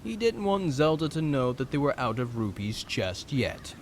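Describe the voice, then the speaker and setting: A man's voice: medium pitch, very animated, normal speed, a little hiss or hum. A man giving dramatic scripted narration for a fan audio drama story.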